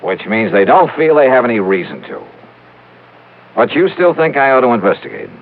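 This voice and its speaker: An American actor playing a brisk, skeptical, hard-boiled insurance investigator in a 1950s radio drama. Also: male voice, mid-range voice, big pitch swings, narrow, tinny audio. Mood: challenging. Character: sharp, skeptical male freelance insurance investigator. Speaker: radio detective hero and narrator. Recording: old thin radio recording, faint hiss.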